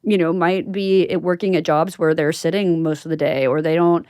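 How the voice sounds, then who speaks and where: A woman's voice: sits low, fairly even intonation, normal speed, a little mellow, smooth, dry recording, clean studio sound. A woman hosting a casual interview, talking in a chatty, informal and affirming way. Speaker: podcast host.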